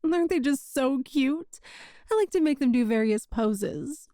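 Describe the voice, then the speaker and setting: Girl voice acting a clingy sweet witch for asmr, kinda high but warm voice, teasing n lovey, super dry sound. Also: animated, measured pace, no echo. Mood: gleeful, doting. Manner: coy, doting, teasing, giggly. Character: sweet, clingy, possessive young witch shopkeeper. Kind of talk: ASMR romantic roleplay audio.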